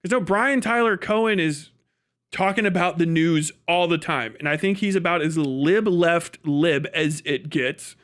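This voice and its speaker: A man with a rather high voice rants off the cuff as a livestream commentator. He is exasperated and emphatic, swears freely, and hammers a point by saying it again. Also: measured pace, fairly bright tone. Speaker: livestream commentator reacting to clips. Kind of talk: casual unscripted political rant.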